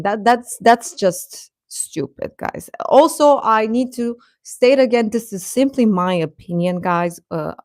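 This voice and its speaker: A woman hosting a casual, opinionated live chat, speaking haltingly and defensively with repeated words. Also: mid-range voice, dry recording, studio quality. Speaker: livestream host and commentator.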